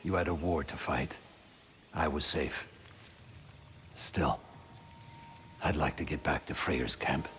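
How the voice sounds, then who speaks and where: Very deep, slow-spoken weary soldier. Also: male voice, fairly bright tone, a little hiss or hum, heavily acted. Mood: weary, subdued. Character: weary male soldier just rescued, loyal and humble. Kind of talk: dramatic video game acting.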